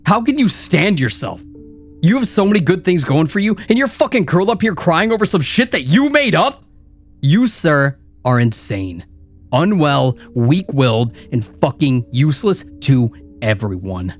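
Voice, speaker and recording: A man's voice: fairly low pitch, expressive, fairly quick, darkish tone, close to an everyday voice. A man voicing a cruel, sneering male inner critic that mocks and taunts relentlessly in a dramatized story reading. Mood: raging. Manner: mocking, taunting, vicious. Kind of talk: dramatized horror story narration.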